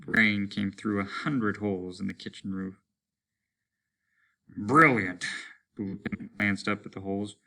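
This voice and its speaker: A man's voice: on the low side, completely flat delivery, a little fast, vocal fry, few pauses, sharp tone. A man reading a story aloud as a teacher would, in a dramatic narrative style.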